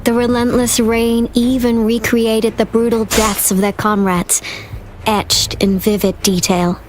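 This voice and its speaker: A woman playing a steady, battle-weary military officer in a video game, speaking gravely and firmly. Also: a little high, unhurried, a little hiss or hum, close to an everyday voice, rough recording. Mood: grim. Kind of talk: video game story dialogue.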